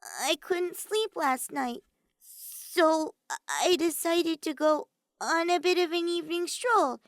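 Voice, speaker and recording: Woman doing a nervous little mage girl, high and squeaky, halting, storybook style. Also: expressive, dry recording, full character voice. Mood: defensive, stiff. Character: small, nervous, timid teenage girl mage.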